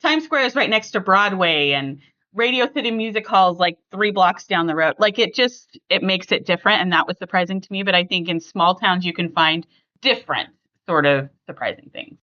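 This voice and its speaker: A woman hosting a casual family podcast, chatting conversationally and thinking out loud as she talks. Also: fairly low pitch, a little fast, muffled recording. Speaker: podcast host.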